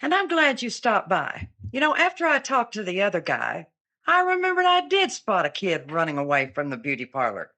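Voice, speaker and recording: An actress playing a chatty young woman eyewitness in a comic radio drama, rambling and deadpan. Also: medium pitch, moderate pace, exaggerated voice. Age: 20s. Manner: chatty, meandering, with an offbeat deadpan.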